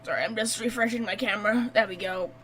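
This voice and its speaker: A woman streaming a video game with casual live reactions, talking in a rambling, excitable, blunt way. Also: medium pitch, quick pace, slight background noise.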